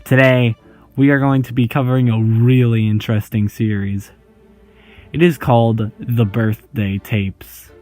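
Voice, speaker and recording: A man talks through horror videos at an unhurried pace in an easygoing, joking tone, reading out on-screen captions as he goes. His voice sits at an ordinary pitch, and faint music plays underneath. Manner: relaxed, jokey, reads on-screen text aloud. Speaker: YouTube host. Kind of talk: casual video commentary.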